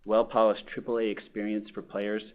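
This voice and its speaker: A man speaks in a measured, corporate way, pausing on "uh" and "um" as he answers an analyst on an earnings call. His voice sits a little low. It comes through a thin, phone-like conference line. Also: monotone. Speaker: company executive.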